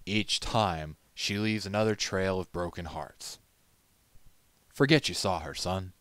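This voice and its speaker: A man's voice: low-pitched, unhurried, a bit bright, no room sound. Man doing a hearty, knowing old market merchant. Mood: wry warning. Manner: hearty, worldly-wise, fatherly. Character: knowing, avuncular older merchant stallion pony.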